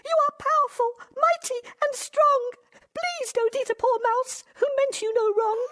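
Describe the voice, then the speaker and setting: A woman voicing a tiny, timid female mouse with a squeaky, pleading manner in a storybook tale. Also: unhurried, fairly bright tone.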